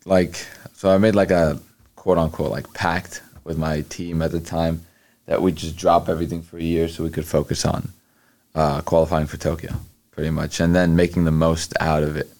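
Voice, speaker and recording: A man's voice: sits low, a little mellow. A young man answering interview questions casually, with lots of fillers and restarts. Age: young adult. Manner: halting, many fillers and restarts. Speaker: guest, college athlete.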